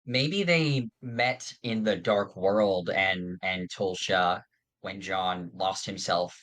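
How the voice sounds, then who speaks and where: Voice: sits low, slow pace, fairly bright tone. A podcast host talking casually through fan theories in an earnest, nerdy, somewhat hesitant way.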